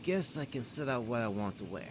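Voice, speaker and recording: A man's voice: mid-range voice, lively intonation, a little fast, heavy background noise, close to an everyday voice. A man playing a weary, grumbling station doctor in a scripted audio drama, hesitant and easily exasperated.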